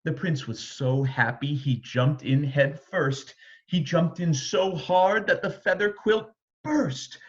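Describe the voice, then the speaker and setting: A man reading a rhyming children's story aloud in a rhythmic, expressive way. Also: on the high side, takes it slowly, muffled recording. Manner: rhythmic, animated reading of rhyme. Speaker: storybook reader.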